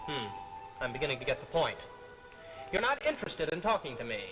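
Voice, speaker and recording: Needling American reporter type, sardonic, lively highish voice, 1950s TV drama, muffled sound, music behind. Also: male voice, a little fast. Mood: quietly threatening. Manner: insinuating, pushy, sardonic. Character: brash, nosy, needling male freelance writer. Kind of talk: 1950s live television drama acting.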